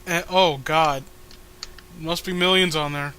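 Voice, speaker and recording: A man with a sharp, forward voice set a little high talks in a loose, rambling way. He is a co-host giving mocking commentary, sarcastic and crude in his wording. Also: fairly even intonation, a little slow, smooth. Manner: sarcastic, rambling, foul-mouthed. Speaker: co-host reading a webcomic aloud with commentary.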